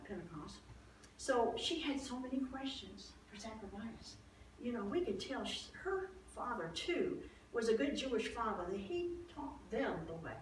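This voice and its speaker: A woman playing an aging, wistful wife of an ancient priest, telling her life story in a chatty, halting, folksy way as a dramatized Bible monologue. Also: a little high. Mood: tender, reflective. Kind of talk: first-person dramatized Bible storytelling to an audience. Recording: echoey room, distant mic, faint noise.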